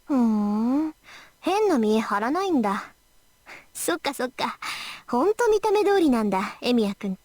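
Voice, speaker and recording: A woman voicing a confident, sharp-witted teenage schoolgirl magus in a Japanese visual novel. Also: on the high side, very animated, brisk, no room sound. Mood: matter-of-fact, teasing.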